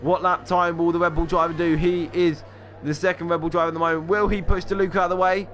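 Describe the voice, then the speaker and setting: A man commentates a sim race in a quick, excitable lap-by-lap style, tossing in offhand asides. His voice sits a little high. Music plays clearly underneath him.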